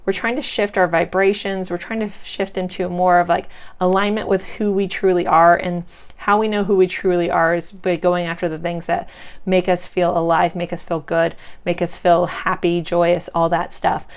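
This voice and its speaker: A woman's voice: lowish voice, level tone, moderate pace, clear, resonant tone. A woman giving a casual pick-a-card tarot reading, chatty and reassuring, her voice congested and cracking from sinus trouble. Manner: chatty and reassuring, loose with many fillers. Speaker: tarot reader.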